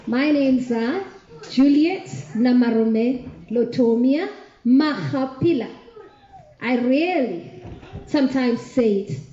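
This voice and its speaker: A woman addresses a gathering in an encouraging, emphatic way. She speaks slowly in a fairly high, mellow voice, and the recording is echoey. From Africa. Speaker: speaker closing a community event. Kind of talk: informal public address. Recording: muffled, echoey room recording.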